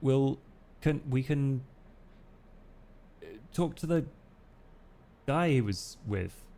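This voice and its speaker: A man plays a curious, low-key undead man in a tabletop vampire game. His mid-pitched voice is creaky and subdued, hesitating and doubling back on phrases. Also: animated, slow, warm tone. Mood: hesitant, insistent.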